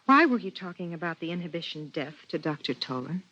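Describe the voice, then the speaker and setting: A woman's voice: on the low side, animated, quick pace, pushed and bright. An actress playing a thoughtful, uneasy biologist wife who tells her story in a 1950s radio drama. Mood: insistent, hurt. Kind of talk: 1950s radio drama, first-person narration and dialogue. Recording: dull vintage 1950s radio recording.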